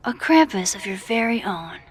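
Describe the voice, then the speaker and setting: A woman's voice: medium pitch, big pitch swings, normal speed, breathy edge. An actress playing a dry-witted, introspective young woman in a naturalistic video game, speaking in an understated, wry, hesitant way. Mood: musing, curious.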